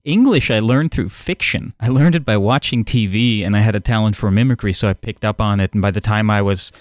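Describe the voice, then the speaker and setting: Man speaking with a smooth, resonant voice. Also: on the low side, brisk, no room sound. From Netherlands. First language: Dutch. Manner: playful, musing, laughs often. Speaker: podcast guest. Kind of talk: casual roundtable chat.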